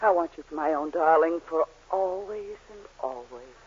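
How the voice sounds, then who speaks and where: Gushing, flirty gold-digger, swooping pitch. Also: female voice, lively intonation, darkish tone, husky. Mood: seductive, gushing. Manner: coy, cooing, drawn-out endearments. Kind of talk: 1940s radio comedy acting. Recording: muffled, scratchy 1940s radio recording.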